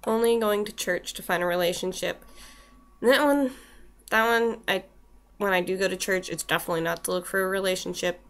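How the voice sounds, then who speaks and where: A woman's voice: medium pitch, fairly quick, a bit bright. A woman in her twenties chatting to her webcam in a small room, reading from a list with wry asides while a little snuffly from a cold. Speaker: vlogger. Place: small room indoors with shelves. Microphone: built-in webcam mic.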